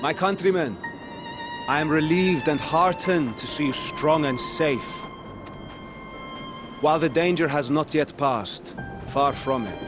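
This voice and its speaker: Formal ruler guy calming his people, steady voice, music behind. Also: on the high side. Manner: formal, measured, reassuring. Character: a ruler, the male satrap addressing his people. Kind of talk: video game cutscene acting. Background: orchestral music.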